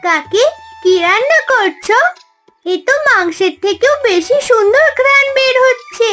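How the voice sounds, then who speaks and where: A woman's voice: extremely high pitch, animated, a little slow, bright, forceful tone, faint music behind, heavily acted. A woman voicing a cheeky, nosy little village girl in a cartoon, with a pert manner. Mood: eager. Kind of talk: cartoon dialogue acting.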